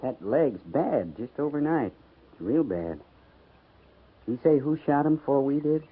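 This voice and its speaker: An actor playing a loyal, grumbling cavalry sergeant in a 1950s radio western, with folksy rustic speech. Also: male voice, medium pitch, sing-song, a little slow. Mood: wry, concerned. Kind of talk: 1950s radio drama acting. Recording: noisy, tinny 1950s radio recording.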